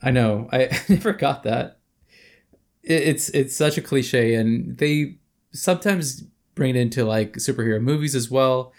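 Gravelly man chatting hesitantly. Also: mid-range voice, a little slow, a little mellow. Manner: thoughtful, hesitant, many fillers. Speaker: podcast co-host. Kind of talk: casual chat.

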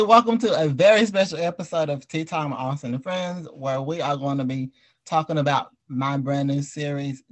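Man, American accent, fairly high voice, podcast host, casual conversational style. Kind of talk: casual group podcast chat.

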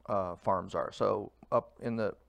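A man in his fifties who runs a food truck, talking casually in an interview, relaxed and rambling with fillers. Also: lowish voice, measured pace, vocal fry, no room sound.

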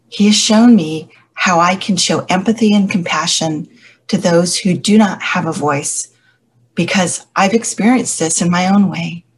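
Church elder, woman, mild stutter; measured, reflective testimony, slightly low voice. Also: slight background noise, rough recording. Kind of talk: personal faith testimony.

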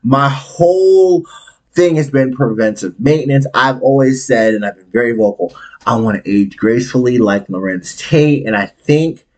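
A man in his late thirties hosting a solo podcast, talking bluntly and candidly with frequent profanity and animated swings in his delivery. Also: moderate pace. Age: 30s. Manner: candid, animated, big swings in pitch and volume. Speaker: podcast host.

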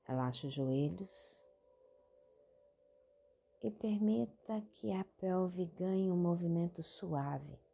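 A woman with a Brazilian accent hosting a guided meditation, giving calm instructions in short phrases with long pauses between them. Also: fairly low pitch, creaky, slightly rough. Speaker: guided meditation podcast host. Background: meditation music.

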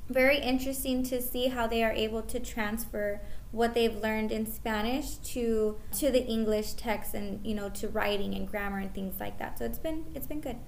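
A woman's voice: on the high side, steady tone, moderate pace, vocal fry, few pauses, slight background noise. A woman teacher describing her classroom in an interview, positive and thoughtful. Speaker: sixth grade teacher.

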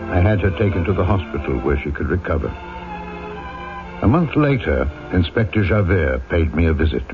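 Deep dignified small town mayor, caring but commanding, old radio drama w thin phone-like sound. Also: male voice, sing-song, moderate pace. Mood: reflective narrating. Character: compassionate, authoritative male town mayor. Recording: tinny old radio broadcast, faint music.

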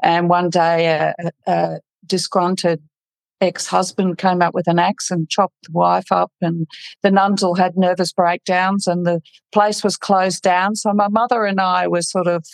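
A woman from the Netherlands, middle-aged, tells her life story on a podcast. Her voice has a gravelly texture, and she speaks frankly and casually. The recording is close and dry. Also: lowish voice, a little slow, no room sound, poor audio. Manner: frank, relaxed, laughs at her own story. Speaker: podcast guest.

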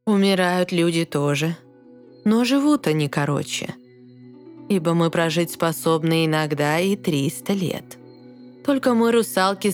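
A woman playing a wise, kindly old mermaid grandmother in a verse audio fairy tale, calm and measured as she explains. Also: lowish voice, close to an everyday voice. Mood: solemn explaining.

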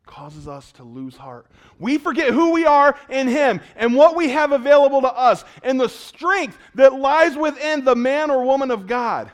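A man preaching a sermon in a conversational way, asking his congregation questions and swinging between loud, urgent lines and hushed ones. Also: very high voice, lively intonation, moderate pace, hardly any pauses. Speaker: pastor.

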